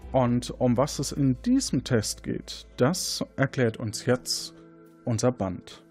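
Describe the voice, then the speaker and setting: Unhurried male quiz host, lively intonation. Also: mid-range voice, soft background music. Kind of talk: presenting an audio quiz game.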